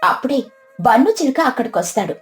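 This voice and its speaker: A woman's voice: sits high, sing-song, fairly quick, faint music behind. A woman narrating a scripted story for children.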